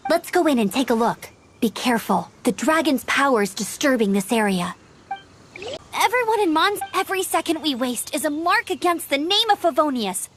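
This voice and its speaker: A perky young scout girl speaks in a high voice with lively, bouncing intonation. She is eager, earnest and emphatic, in anime-style game acting over faint music. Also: heavily acted. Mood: alert, determined.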